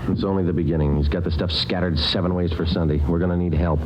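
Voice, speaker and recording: An actor playing a terse, matter-of-fact police sergeant in an old radio crime drama, narrating his case in a deadpan way. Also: sits low, quick pace, background noise, close to an everyday voice. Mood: businesslike. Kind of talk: 1940s-50s radio crime drama acting, clipped narration. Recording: dull vintage radio recording, loud music.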